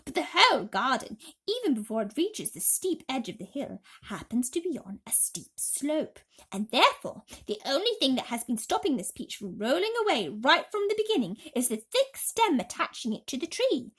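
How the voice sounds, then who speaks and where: A woman voicing a kind, gentle female ladybird from a storybook, reassuring and emphatic. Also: high voice, big pitch swings, moderate pace, hardly any pauses, slightly rough, pitched above the performer's own voice, exaggerated voice. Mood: explaining, reassuring.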